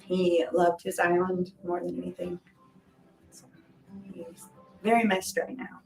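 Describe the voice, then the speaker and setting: Woman with a lowish voice, reflective and informal, talking about her life, soft music clearly behind. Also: flat delivery, unhurried, far from the mic, poor audio. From Hawaii, island of Kauai. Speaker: shaved-ice business owner. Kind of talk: personal storytelling in a documentary interview.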